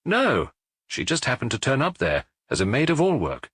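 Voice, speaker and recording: A male narrator plays an indignant boarding-house proprietress in a detective audiobook. The voice is pushed up high and a little husky, with clipped, grudging, contemptuous retorts. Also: wildly swooping pitch, moderate pace, fairly bright tone, dry recording, clean studio sound, full character voice. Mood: curt, contemptuous.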